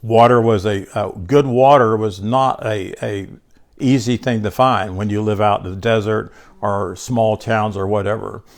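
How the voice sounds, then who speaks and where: A man's voice: fairly low pitch, steady tone, a little slow. An older man with a congested voice teaching scripture to a small group. He talks informally, repeats and restarts words, and addresses listeners by name. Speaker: bible teacher.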